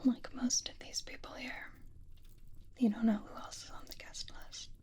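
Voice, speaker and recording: Woman doing a teasing possessive vampire lady, asmr roleplay, hushed whisper, barely rises or falls, languid. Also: on the high side, level tone, natural voice. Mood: teasing, affectionate. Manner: teasing, intimate, languid with lingering pauses. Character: flirtatious, possessive female vampire, sardonic and doting.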